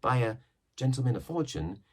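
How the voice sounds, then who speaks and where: Expressive husky male storyteller. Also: medium pitch, moderate pace. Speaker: reader. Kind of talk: read-aloud narration of a novel.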